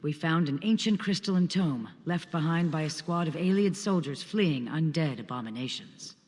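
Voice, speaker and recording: An actor playing an earnest, courteous scholarly adventurer in a fantasy video game, speaking in measured, formal phrases. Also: male voice, a little high, a little mellow, long reverb tail, close to an everyday voice. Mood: warm, explanatory. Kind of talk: video game character dialogue.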